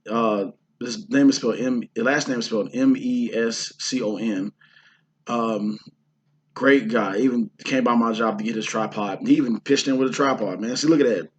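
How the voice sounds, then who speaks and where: A hoarse man from the Houston area chatting casually about his indie film shoot, rambling with lots of fillers and restarts. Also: mid-range voice, moderate pace. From Houston, Texas area. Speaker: indie filmmaker and actor. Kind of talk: casual vlog talk.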